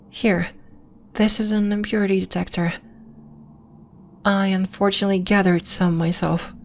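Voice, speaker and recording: A woman voices a gentle female alien healer who lives on the moon and acts as an earnest mentor. Her voice sits at a middle pitch, and she speaks softly and formally, with measured, reassuring phrasing suited to an ASMR roleplay. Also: slight background noise, natural voice. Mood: gentle, instructive. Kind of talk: ASMR roleplay, scripted character acting.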